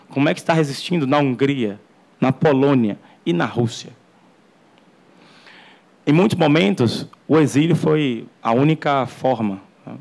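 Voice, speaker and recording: A man's voice: mid-range voice, a little mellow. A man giving an academic lecture in an auditorium, earnest, often restarting and repeating words. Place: university auditorium.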